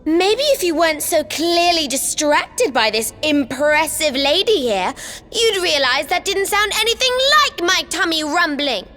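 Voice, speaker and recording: A woman voicing a bossy, prickly little girl in a video game, with haughty, deliberate phrasing. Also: very high voice, expressive, sharp tone, a little hiss or hum, heavily acted. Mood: indignant. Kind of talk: scripted video game cutscene acting.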